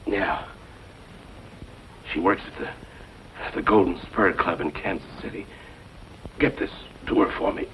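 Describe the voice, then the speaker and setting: An actor playing a gunshot, dying outlaw in an old western film, speaking in a weak, halting way with weary remorse. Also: male voice, sits low, muffled recording. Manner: halting, weak deathbed speech. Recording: noisy old film soundtrack.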